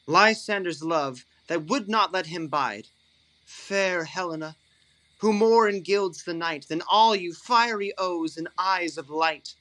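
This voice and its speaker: An actor playing an ardent young lover with a cutting streak in a Shakespearean audio drama, declaiming verse earnestly. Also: male voice, high voice, slow, bright, forceful tone, exaggerated voice, rough recording. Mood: cold, ardent.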